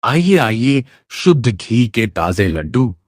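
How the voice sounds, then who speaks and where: A man's voice: medium pitch, sing-song, slow, dry recording, studio quality. A man voicing a sly, greedy village sweet seller in a cartoon story, with coaxing vendor patter. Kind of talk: animated moral story voice acting.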